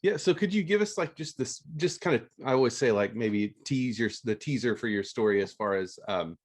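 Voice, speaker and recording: A man hosting an unscripted interview in a casual way, halting and often rephrasing mid-sentence. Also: medium pitch, animated. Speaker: podcast host. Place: remote video call.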